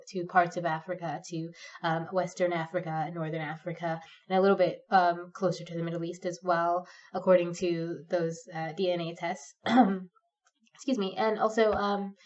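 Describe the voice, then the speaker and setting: Congested woman rambling, distant and muffled. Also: lowish voice. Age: 30s. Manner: rambling, hesitant, many ums and likes. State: congested with a cold, sniffly. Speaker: pagan vlogger. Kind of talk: unscripted rambling monologue. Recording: distant, dull lo-fi sound.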